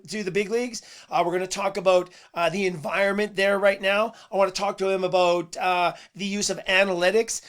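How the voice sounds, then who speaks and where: A man hosting a sports podcast in a casual monologue. His voice is a little hoarse and he talks earnestly, with "uh"s and repeated words. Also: high-pitched, a bit bright. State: slightly hoarse voice. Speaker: podcast host.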